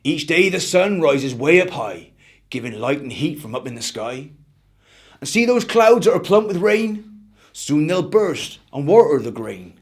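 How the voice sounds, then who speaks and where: Man voicing old farmer, gentle, modest, unhurried, storybook character. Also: fairly high pitch, big pitch swings. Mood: kindly explaining.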